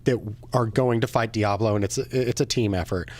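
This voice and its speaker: A man's voice: on the low side, a little fast. A man co-hosting a podcast, chatting casually about games and films. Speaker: podcast co-host.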